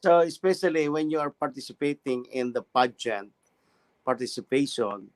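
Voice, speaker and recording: A man hosts an interview, his English halting and roundabout, his words full of warm praise for his guest. His voice sits at a middle pitch, close and dry in the recording. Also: animated, no room sound. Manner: halting non-native English, effusive praise. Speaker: interview host. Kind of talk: interview questions and comments.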